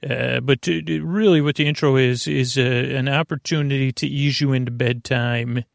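A man hosting a bedtime podcast in a gravelly voice, rambling haltingly, interrupting himself and drifting off on tangents. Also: mid-range voice, steady tone, no room sound, studio quality. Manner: meandering, halting, self-interrupting, tangent-prone. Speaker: podcast host.

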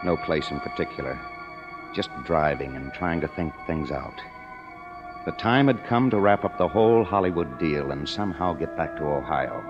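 An actor playing a world-weary Hollywood writer, narrating tersely and wryly in a 1950s radio drama. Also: male voice, rumbling low. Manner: terse, wry. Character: world-weary male hard-boiled Hollywood writer narrating his downfall. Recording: dull old radio recording. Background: dramatic music.

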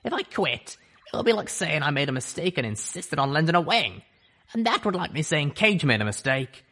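A man putting on a gruff wry griffin woman. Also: fairly high pitch, very animated, normal speed, fairly bright tone, voice pushed up. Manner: drawling, folksy slang. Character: gruff female griffin smoker, wry and tough.

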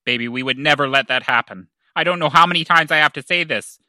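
Very bright, emphatic man in audio drama. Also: a little high, quick pace, no echo. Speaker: podcast host performing in a comic play. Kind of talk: comic audio drama acting.

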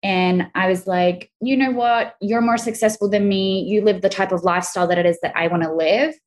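Australian-accented female guest, warmly eager storyteller, ordinary mid-range voice. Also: medium pitch, fairly even intonation, a little fast. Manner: enthusiastic, earnest storytelling. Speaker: guest, crypto educator. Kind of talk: casual interview chat.

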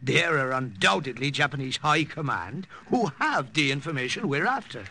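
Earnest priest, old radio drama, very bright voice, lively intonation, measured phrases. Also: male voice, fairly high pitch, husky, heavily acted. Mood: earnest, reasoning. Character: earnest, deliberate priest planning a wartime mission. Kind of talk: 1950s radio drama acting. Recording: old radio recording, faint noise.

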